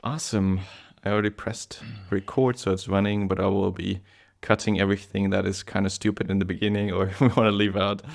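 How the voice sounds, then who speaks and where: A young man hosting a podcast, talking in a gentle, thoughtful and somewhat hesitant way. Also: deep voice, flat delivery, fairly quick, creaky, few pauses. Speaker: podcast host, coach and psychologist. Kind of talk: casual podcast conversation.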